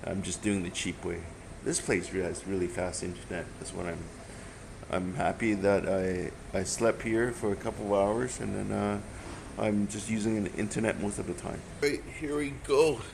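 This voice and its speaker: A man from Hong Kong chatting candidly as a travel vlogger, rambling and excitable. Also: fairly low pitch, normal speed, vocal fry. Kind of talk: casual vlog narration and chat. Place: bus stop area outdoors. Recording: street noise behind.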